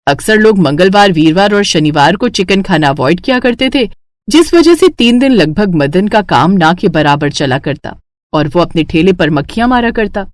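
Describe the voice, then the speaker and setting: A woman narrating a scripted moral story as a storyteller, in an expressive style. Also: lowish voice, moderate pace, no room sound, studio quality.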